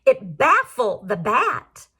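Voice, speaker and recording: High-pitched, animated woman reading to children. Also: takes it slowly, slightly rough. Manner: sing-song, explains words to young listeners. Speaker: teacher reading a picture book aloud. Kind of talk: expressive children's read-aloud with questions to listeners.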